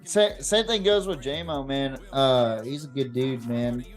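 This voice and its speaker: A man's voice: medium pitch, slow. A man hosting a sports podcast in a casual, blunt way, swearing often as he talks. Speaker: podcast host.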